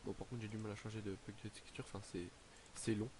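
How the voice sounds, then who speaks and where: A teenage boy narrating his game in a casual, hesitant way, filling pauses with "donc, euh" and sounding slightly stuffy from a cold. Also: fairly low pitch, flat delivery, background noise. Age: teens. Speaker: gaming YouTuber. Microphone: headset.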